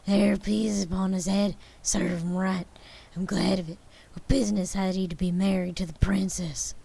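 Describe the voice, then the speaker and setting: A woman voicing an old miserly man overcome by childhood memories, with a Southern US accent, loud and breathy, slipping into whispers. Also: medium pitch, moderate pace. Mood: excited. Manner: excited, breaking into whispers. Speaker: reader.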